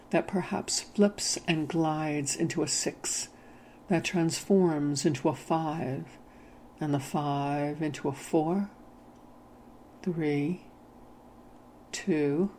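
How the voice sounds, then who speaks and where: A narrator leading a hypnotic guided meditation in a soothing, measured, gently instructing manner. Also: medium pitch, a little hiss or hum.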